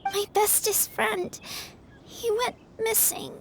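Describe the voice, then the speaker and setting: A woman voicing a frail, sorrowful young girl in a video game, with a halting, plaintive way of talking. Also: very high voice, level tone, slight background noise, full character voice. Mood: sad, subdued.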